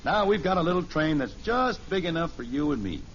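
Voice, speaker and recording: An actor playing a kindly middle-aged American father in a 1950s radio sitcom, gentle, folksy and patient as he plays along with a child. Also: fairly high pitch, very animated, brisk. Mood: playful, eager. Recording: muffled, hissy vintage radio recording.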